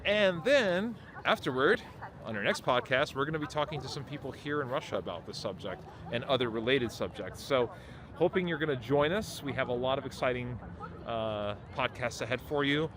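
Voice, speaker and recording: A man hosting a podcast introduces the show and his guest, pausing often with fillers. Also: mid-range voice, animated, crowd noise. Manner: halting, with many fillers. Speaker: host. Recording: outdoor street noise, traffic.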